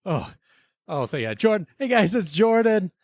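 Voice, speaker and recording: A man's voice: sits high, big pitch swings, moderate pace, no room sound, clean studio sound. A man hosting a podcast with casual, comic banter in a jokey, pleading manner. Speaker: podcast host.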